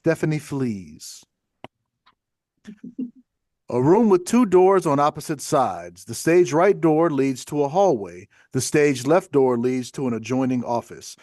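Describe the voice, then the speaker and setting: A man's voice: mid-range voice, animated, a little slow, darkish tone, dry recording, studio quality. A man reading stage directions as scripted narration for a play table read.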